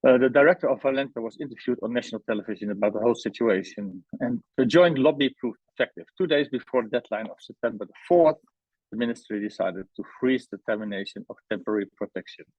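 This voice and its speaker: Husky man presenting, dull webinar sound. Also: medium pitch, fast, dry recording. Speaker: housing organisation representative presenting at a webinar. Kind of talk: formal prepared presentation, read aloud. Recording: dull, band-limited webinar audio.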